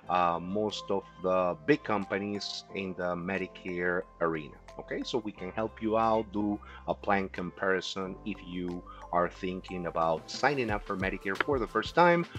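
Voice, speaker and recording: A man from Peru with a Peruvian Spanish accent, presenting an educational class as an insurance agent and often checking in with "okay?". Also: lowish voice. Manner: friendly, often adds "okay?" and restarts phrases.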